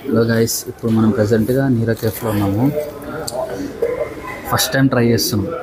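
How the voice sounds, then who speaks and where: A man's voice: fairly low pitch, fairly even intonation, a little fast. A young man chatting casually to camera as a vlogger, with very noisy ambience around him. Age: young adult. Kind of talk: casual vlog chat to camera. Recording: very noisy outdoor and cafe ambience.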